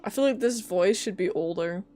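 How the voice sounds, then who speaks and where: A woman with a mid-pitched voice giving casual commentary as she streams a game. She is sarcastic and quippy, with faint game music behind her. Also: normal speed, dark tone. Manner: sarcastic, irreverent quips. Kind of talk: casual playthrough commentary, reading and reacting.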